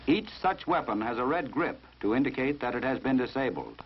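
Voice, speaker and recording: An American man reading scripted narration for a 1950s instructional film in a formal, measured, authoritative manner. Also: medium pitch, lively intonation, slight background noise. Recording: dull, muffled vintage 1950s film soundtrack.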